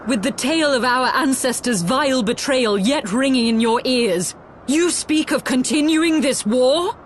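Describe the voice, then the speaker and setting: High, slow, solemn idealist woman. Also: slight background noise. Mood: reproachful. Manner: solemn, formal, dramatic. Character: proud idealistic female rebel leader, sorrowful, disillusioned. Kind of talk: archaic fantasy game cutscene acting.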